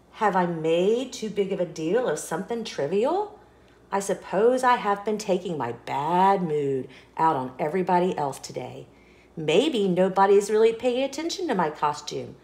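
A woman reading a children's storybook aloud with expressive narration, recorded with roomy echo. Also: mid-range voice.

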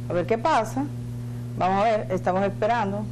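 A woman's voice: mid-range voice, a little fast. A Dominican woman hosting a live show conversationally, in short and often repeated phrases. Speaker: TV show host. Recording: clear background noise with hum.

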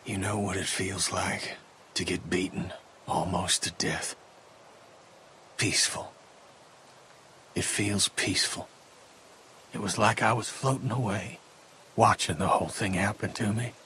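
A man's voice: deep voice, steady tone, measured pace, long pauses, bright, forceful tone, a little hiss or hum. A man playing a bitter, weary, grieving middle-aged survivor in a video game drama. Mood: bitter despair.

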